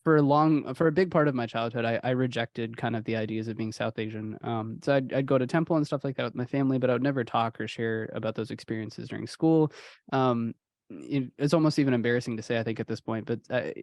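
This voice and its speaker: A man's voice: medium pitch, fast talker, no room sound. Young man from Houston, podcast guest, casual and reflective, dry close mic. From Houston, Texas. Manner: relaxed, uses many fillers like "you know". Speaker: podcast guest, sound engineer.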